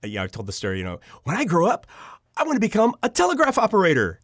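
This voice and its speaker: A man's voice: high-pitched, wildly swooping pitch, rapid-fire, a bit bright, no room sound, clean studio sound. A middle-aged American man telling personal stories conversationally as an interview guest, acting out bits of remembered dialogue. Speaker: podcast guest, audio drama writer. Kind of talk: animated personal storytelling in an interview.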